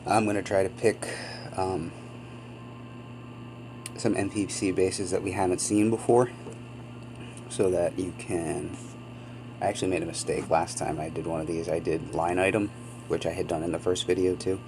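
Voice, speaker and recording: A man with a very low voice talks through his gameplay in a loose, rambling way, full of ums and little apologies. He sounds sniffly from a cold. A steady hum sits under the home recording. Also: normal speed, long pauses. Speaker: gaming channel host. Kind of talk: casual gameplay commentary.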